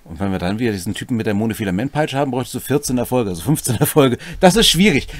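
Middle-aged man, lively intonation. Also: medium pitch, very fast, no echo. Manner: colloquial, wry commentary. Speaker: host of a tabletop role-playing rules series. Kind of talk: casual explanation of game rules with asides. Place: small furnished room at home, indoors.

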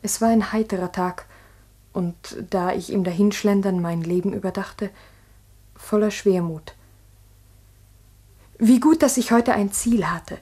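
An earnest village schoolmistress in an old radio play. Her middle-pitched voice is a little husky, and she speaks with measured, strict precision. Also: warm tone. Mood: reflective. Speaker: actor and first-person narrator. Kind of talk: 1960s German radio drama acting and narration.

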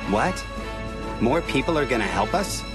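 A man's voice: mid-range voice, very animated, normal speed, over music, lightly acted. An actor voicing a smug, aloof, laid-back young male android in a video game cutscene. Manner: mocking, aloof.

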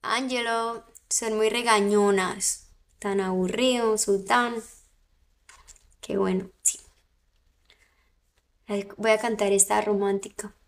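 A woman's voice: mid-range voice, steady tone, slow, pauses often. A young Colombian woman with a mixed coastal and Santander accent, chatting playfully with viewers on a phone livestream. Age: young adult.

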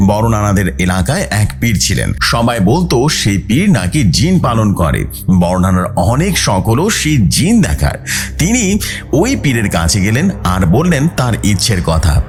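A man tells scary stories as a channel host. His voice sits fairly low but swings dramatically up and down, stretching key words for suspense, with music playing behind him. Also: wildly swooping pitch, measured pace. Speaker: host of a horror story channel. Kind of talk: dramatic scripted horror storytelling.

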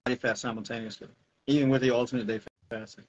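Middle aged american guy, fitness coach chatting informally, medium pitch, kinda muffled call audio. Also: flat delivery, quick pace, a little mellow. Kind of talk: casual Q&A chat. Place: indoor room at home, video call. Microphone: wired earphones on a video call.

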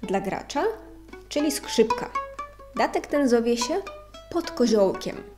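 A woman reads scripted narration in a high voice. She takes her time, measured throughout, with plucked string music clearly behind her. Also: expressive. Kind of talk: scripted narration of an old ethnographic text.

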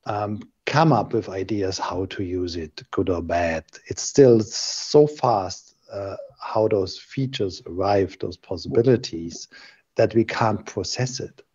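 A middle-aged man speaking in a measured, thoughtful way in a casual video call discussion. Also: low-pitched, expressive, a little mellow, slightly rough. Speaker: panel guest. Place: indoor room on a video call. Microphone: desk microphone on a video call. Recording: dull lo-fi video call audio.

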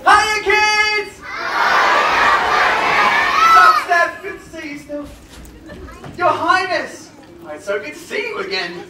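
A woman plays a cheeky young servant in a live pantomime, high and very animated. The sidekick is eager and chummy with the audience, caught from afar in an echoey theatre amid loud crowd noise. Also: slow, pauses often, far from the mic, heavily acted. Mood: excited. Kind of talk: live pantomime comedy acting. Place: theatre stage, recorded from afar.

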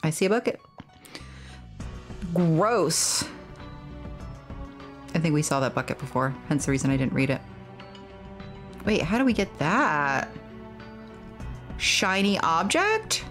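A woman giving playful, expressive let's play commentary on a game, excitable and theatrical when reading aloud. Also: sits low, takes it slowly, long pauses, faint music behind. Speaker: game streamer and host.

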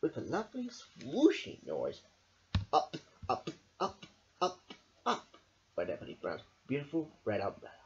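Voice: medium pitch, huge pitch swings, unhurried, creaky, slight background noise. A storyteller reading a children's picture book aloud dramatically, stumbling over words now and then. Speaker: storybook reader. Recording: dull lo-fi camera audio.

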